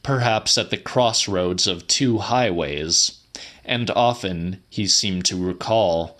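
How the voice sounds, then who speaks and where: A man reads literary fiction as a dramatic audiobook. His voice is on the low side and bright, with a firm edge. He takes his time, very slow and deliberate through each phrase. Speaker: audiobook narrator.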